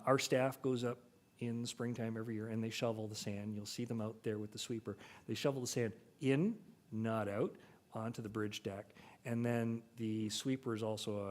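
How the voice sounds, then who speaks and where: A man presenting technical details at a public meeting, measured and full of fillers. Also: on the low side. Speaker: bridge authority official.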